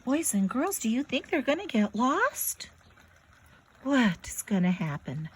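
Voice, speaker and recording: A woman reading a story aloud to young children, with expressive, dramatic and emphatic delivery. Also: on the high side, a little slow, slightly rough.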